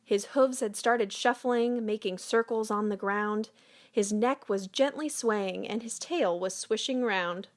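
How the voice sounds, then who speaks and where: A woman storyteller narrating a children's picture book in a measured, expressive read-aloud manner. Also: medium pitch.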